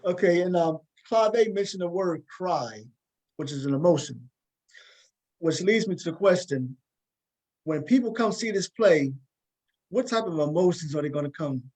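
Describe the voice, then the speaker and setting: Male talk show host, slightly high voice, conversational, halting delivery with repeated words. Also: animated, darkish tone, low-quality recording. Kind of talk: casual conversational interviewing.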